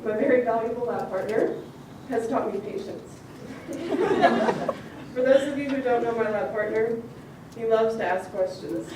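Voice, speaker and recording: Brief: young female graduate speaker, higher voice, joking sincere tone, distant mic in a reverberant hall. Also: unhurried, long pauses. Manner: light, joking and tearful at times, frequent laughs. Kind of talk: ceremony speech. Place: large hall. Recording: echoey hall, far from the mic, audible noise.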